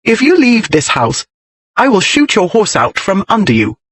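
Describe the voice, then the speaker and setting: A man voicing a spirited young noblewoman who is bold and defiant, speaking in a pert, insistent way with a husky tone. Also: high-pitched, wildly swooping pitch, normal speed, a bit bright, no room sound, pitched above the performer's own voice, full character voice. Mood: indignant. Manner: pert, insistent, rising to anger.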